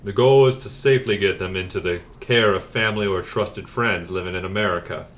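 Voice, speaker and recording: A man playing a solemn expert in a forced low voice for a scripted comedy explainer. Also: fairly quick, lightly acted.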